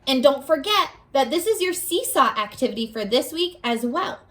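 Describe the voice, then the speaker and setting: A woman's voice: high voice, expressive, normal speed. A woman teacher leading a read-aloud lesson for young children, guiding them with simple questions and encouragement. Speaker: elementary school teacher.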